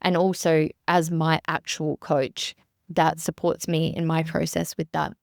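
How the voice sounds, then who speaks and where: A woman's voice: sits low, little pitch movement, unhurried, gravelly, no echo. An Australian woman in her thirties talks straight into a close mic, chatty and earnest. She restarts her sentences often and leans on fillers. The sound is dry, with no room around her voice. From rural South Australia. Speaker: podcast host and business coach. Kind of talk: casual solo podcast monologue.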